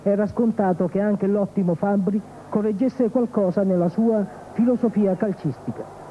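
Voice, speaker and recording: Male sports reporter, high voice, scripted match recap, narrow phone-like archive TV sound. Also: fairly even intonation, normal speed. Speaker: football reporter. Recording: muffled, noisy archive TV broadcast.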